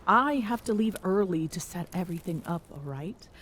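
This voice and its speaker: A woman's voice: fairly low pitch, animated, moderate pace, a bit bright, a little hiss or hum. A woman playing a tall Amazonian queen in an intimate ASMR roleplay, smitten and teasing, bossy yet tender, often slipping toward a whisper. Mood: commanding, fond. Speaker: voice actor in audio roleplay.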